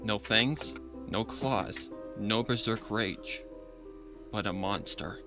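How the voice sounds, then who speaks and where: A man reading a story aloud in an expressive, dramatic scripted narration style. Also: fairly low pitch, slow, over music. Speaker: fan fiction reader.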